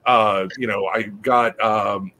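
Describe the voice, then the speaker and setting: A man's voice: on the low side, steady tone, takes it slowly, pushed and bright, slight background noise. A man co-hosting a casual chat show, stammering as he talks and cracking crude jokes. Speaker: podcast co-host.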